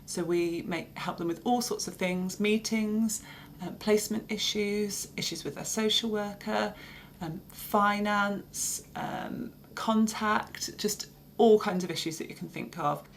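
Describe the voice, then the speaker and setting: A woman with an English accent who works for a children's advocacy service, explaining its work in a steady, informative way with occasional fillers. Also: mid-range voice, unhurried, slight background noise.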